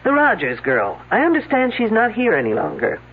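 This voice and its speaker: A dry, sardonic detective who needles everyone with quiet sarcasm. His voice sits high for a man. It is heard through a tinny, faintly hissing old radio broadcast. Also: huge pitch swings, brisk, full character voice. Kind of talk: 1970s radio drama acting.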